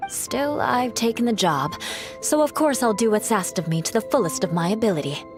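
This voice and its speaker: A woman's voice: a little high, expressive, moderate pace, close to an everyday voice. An actress playing a proud, self-reliant young noblewoman warrior in a fantasy game, poised, formal and haughty. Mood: self-assured. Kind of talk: fantasy video game dialogue. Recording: game audio with faint music.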